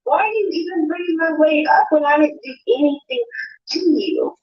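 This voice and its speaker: A woman's voice: very high-pitched, normal speed. A woman in a defensive, insistent argument on a live panel, repeating herself, on dull lo-fi audio.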